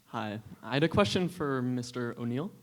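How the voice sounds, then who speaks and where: A man's voice: medium pitch, lively intonation, moderate pace. Polite young man asking a question. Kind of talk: audience question. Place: auditorium.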